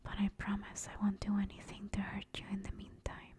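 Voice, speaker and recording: Woman voicing a female demon, ASMR roleplay, whispered, dark soft tone, intimate, teasing and tender. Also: medium pitch, completely flat delivery, fairly quick. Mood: gentle, soothing. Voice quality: breathy whisper. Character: female sleep paralysis demon, teasing menace turning apologetic and tender.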